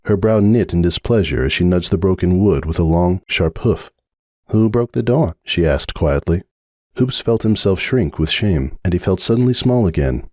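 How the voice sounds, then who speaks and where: Deep, dark-voiced male narrator. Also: no room sound, clean studio sound. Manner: measured, brooding storytelling. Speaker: audiobook narrator. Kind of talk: dramatic reading of a fan story.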